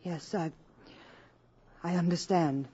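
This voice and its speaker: A woman's voice: lowish voice, fairly even intonation, slow, warm tone, husky, muffled recording. An actress playing an earnest, anxious, principled American woman, pleading and urgent in 1950s radio drama style. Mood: hushed, worried. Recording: old radio recording, music underneath.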